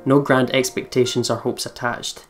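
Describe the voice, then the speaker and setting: A man hosts a let's play and reads the story narration aloud. His voice sits at a medium pitch, with guitar music clearly behind it. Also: flat delivery, normal speed. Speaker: let's play host reading the game's narration. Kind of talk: scripted narration read aloud. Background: game music with guitar.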